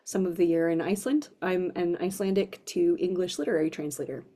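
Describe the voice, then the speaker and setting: A woman from Arizona who works as a literary translator, speaking gently and a little hesitantly on a video call. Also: fairly low pitch, fast. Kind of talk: conversation and bilingual reading.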